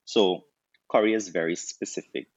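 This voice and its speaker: A young man with a Trinidadian accent, a doctor turned chef, telling his story in a relaxed interview with many restarts. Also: sits low, moderate pace, dry recording, muffled recording. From Trinidad and Tobago. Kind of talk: casual interview answers.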